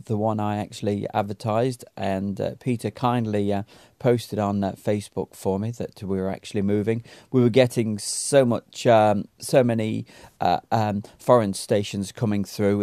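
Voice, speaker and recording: A man giving a casual, unscripted recap to viewers, halting with very frequent ums and pauses. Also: lowish voice, warm tone, dry recording, studio quality. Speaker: radio net organiser and channel host.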